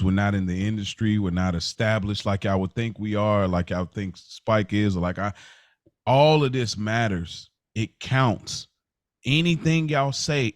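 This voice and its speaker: A man's voice: medium pitch, no echo, clean studio sound. An American man chatting casually as a podcast co-host, with an earnest, emphatic, slangy way of talking.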